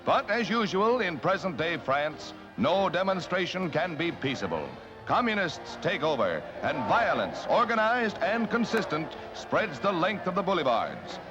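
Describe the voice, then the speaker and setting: A high, sweeping man's voice with an American accent delivers dramatic 1940s newsreel narration. Prominent music plays behind him on a dull, lo-fi old soundtrack. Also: sing-song, a little slow.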